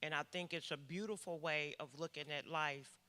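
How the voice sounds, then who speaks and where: A woman's voice: low voice, fairly even intonation, normal speed, pushed and bright. A woman giving a heartfelt personal testimony in a church session, speaking in a reflective way. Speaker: congregation member giving testimony. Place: church hall. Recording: reverberant church hall.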